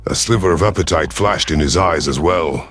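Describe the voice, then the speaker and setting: A man reading a dramatic science fiction story as a scripted narration, in a measured, serious manner. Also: low voice, fairly bright tone. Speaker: audiobook narrator. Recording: music behind the voice.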